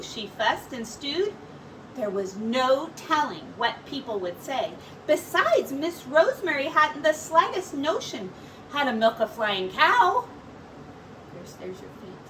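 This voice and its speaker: A woman teacher reading a picture book aloud in slow, expressive storytelling. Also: on the high side, recorded from a distance, noisy background.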